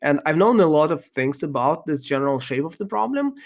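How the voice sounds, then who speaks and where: A man whose first language is Russian, answering interview questions in a thoughtful, halting way with many ums and restarts. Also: on the high side, very animated. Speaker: podcast guest, software engineer.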